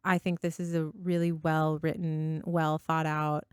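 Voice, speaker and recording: A woman's voice: low-pitched, flat delivery, unhurried, clear, resonant tone, no room sound, studio quality. A woman co-hosting a casual book podcast, speaking in an enthusiastic, effusive way. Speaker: podcast co-host.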